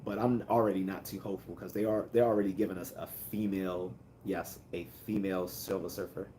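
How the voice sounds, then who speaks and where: A man hosting a casual livestream, answering viewer comments in a calm, rambling way with many fillers and restarts. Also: low-pitched, a little fast, slight background noise. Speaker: livestream host.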